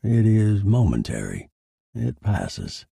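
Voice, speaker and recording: A man acts out a murdered man telling his own noir horror story. He is brooding and coldly detached, with a dark, husky, creaky voice. The delivery is dramatic and deliberate. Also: lowish voice, huge pitch swings, no room sound, clean studio sound, exaggerated voice. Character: murdered man, a bitter, eerily detached dead narrator. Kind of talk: scripted first-person noir horror narration.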